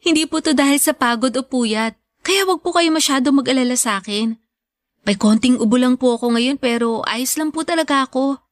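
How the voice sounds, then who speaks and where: A woman's voice: high voice, brisk, dry recording, close to an everyday voice. A woman playing a hardworking, devoted student daughter in a Filipino radio drama, polite, respectful and earnest with her mother. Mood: reassuring.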